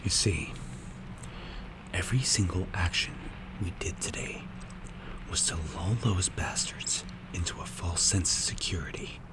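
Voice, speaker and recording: A man playing a strict, calculating mafia boss in an ASMR roleplay, speaking in a hushed, breathy, measured and menacing way. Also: low voice, poor audio. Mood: calm, calculating. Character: strict, cold, calculating male mafia boss mentoring a protégé.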